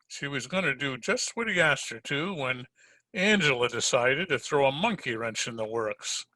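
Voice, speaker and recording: Man playing a bright, wisecracking private eye. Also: medium pitch, animated, normal speed, dry recording. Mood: cool, accusing. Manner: hard-boiled, sardonic, slangy. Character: tough wisecracking male private detective. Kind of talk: 1940s radio detective drama acting and narration.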